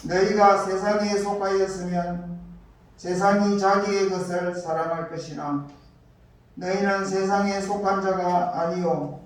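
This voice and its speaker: A male pastor with a high-pitched voice delivers a sermon in deliberate, short phrases. The sound is very echoey, as in a church, picked up at a distance from the microphone. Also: steady tone. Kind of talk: scripture reading and sermon. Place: church hall. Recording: echoey church, distant mic, faint noise.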